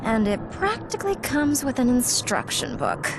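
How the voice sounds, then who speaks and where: A woman's voice: fairly high pitch, huge pitch swings, moderate pace, noisy background. A woman playing a breezy, wisecracking magician heroine in a video game, playful and flippant. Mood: brisk.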